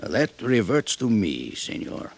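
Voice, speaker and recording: Deep-voiced, stiff Spanish-accented aristocrat. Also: male voice, very animated, a little slow, creaky, full character voice. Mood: proud, disdainful. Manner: formal, florid, courtly speech. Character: stiff, formal Cuban aristocrat seething with suppressed hate. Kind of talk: old-time radio drama acting.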